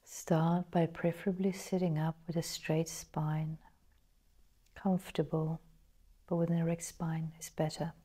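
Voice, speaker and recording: Soft, husky, slow-speaking woman meditation guide. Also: deep voice, level tone. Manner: soft, breathy, partly whispered delivery; slow, measured, pausing between phrases.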